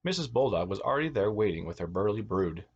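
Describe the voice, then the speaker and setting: A man's voice: fairly low pitch, quick pace, husky, muffled recording. A man reading a children's storybook aloud as a teacher, expressively, with asides to his young listeners. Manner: expressive, acts out words and exclamations.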